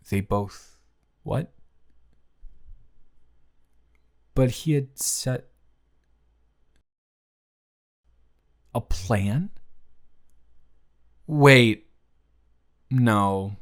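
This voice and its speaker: Man playing lovestruck young guy, earnest, flustered, medium pitch, conversational, emotive, intimate romantic roleplay aimed at the listener. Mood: bewildered. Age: young adult. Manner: conversational, emotive, swinging from hushed to exasperated. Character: earnest, flustered young man confessing love to his friend's sister. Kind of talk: romantic audio roleplay monologue addressed to the listener.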